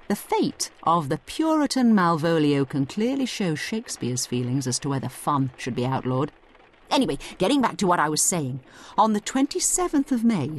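A woman narrating a scripted, dramatised study guide like a tour guide, theatrical and explanatory, slipping in asides to the listener. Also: on the low side.

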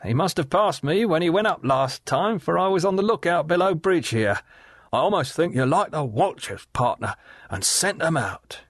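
A man voicing a leering, lowborn male river scavenger who talks in a coaxing, slangy way. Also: expressive, moderate pace, fairly bright tone, no echo, studio quality. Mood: wheedling.

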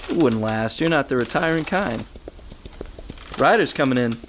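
A man's voice: mid-range voice, lively intonation, moderate pace, slight background noise, lightly acted. A man playing a marshal's grown son in a western radio drama, plain-spoken and teasing.

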